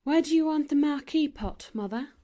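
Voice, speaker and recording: A woman voicing a young elder sister who speaks briskly and cheerfully. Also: sits high, dark tone, pitched above the performer's own voice, close to an everyday voice.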